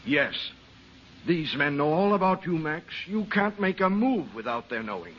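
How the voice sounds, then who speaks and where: An actor in an old-time radio drama playing an earnest, principled scientist who speaks with urgency. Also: male voice, a little high, sing-song, normal speed. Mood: stern, reproachful. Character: earnest, principled male scientist, pleading, trusting. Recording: dull, noisy old radio recording.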